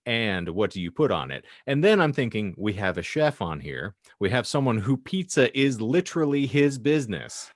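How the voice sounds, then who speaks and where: A man's voice: mid-range voice, big pitch swings, moderate pace, few pauses, dry recording, clean studio sound. A man hosting a casual podcast, chatting in a wordy, mock-formal way with elaborate vocabulary. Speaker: podcast host.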